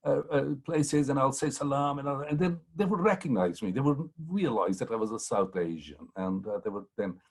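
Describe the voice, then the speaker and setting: A man speaks as a historian on a discussion panel. His voice is husky and somewhat breathy, and his pitch sits in the middle. He tells meandering anecdotes, with frequent ums and self-corrections. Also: animated, normal speed, hardly any pauses, a little mellow. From Pakistan. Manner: rambling, many ums and restarts. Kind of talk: anecdotal storytelling in a panel talk.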